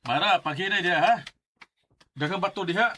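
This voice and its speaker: A caring rural father in a Bisaya radio drama. His voice is slightly high and forceful, gently admonishing as he speaks. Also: brisk. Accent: Filipino, Bisaya. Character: caring, cautioning father who works the farm. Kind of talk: Filipino radio drama acting.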